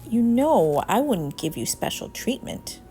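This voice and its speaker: A friendly storybook schoolteacher, a grown man, voiced by a woman. He is calm and reassuring, with a lively lilt and music behind. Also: on the high side, measured pace. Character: friendly male schoolteacher, adult, calm and cheerful.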